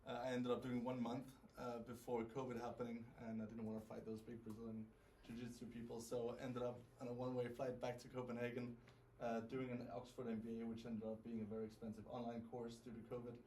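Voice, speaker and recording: A man's voice: medium pitch, completely flat delivery, quick pace, dark tone. A man in his thirties from Denmark and southern France, talking informally and self-deprecatingly to students as a startup investor and guest speaker. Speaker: venture capital founder, guest speaker. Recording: distant mic with faint noise.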